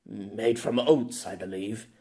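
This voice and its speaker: A man voicing a weary, grumpy gentleman with a dry, curt, exasperated manner. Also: lowish voice, fairly even intonation, takes it slowly. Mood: dry exasperation. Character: grumpy, weary well-off older man from fantasy world.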